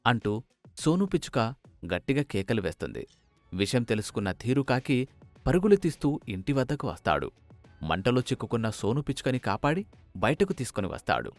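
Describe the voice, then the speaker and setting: A man's voice: mid-range voice, big pitch swings, a little fast, dry recording, soft background music. A man narrating a children's bird fable in storybook style.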